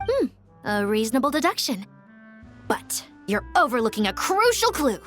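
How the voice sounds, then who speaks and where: Game casting: high, bouncy, eager reporter girl, music under. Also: very animated, moderate pace, a bit bright. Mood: perky. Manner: perky, theatrical, upbeat. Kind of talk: video game voice acting.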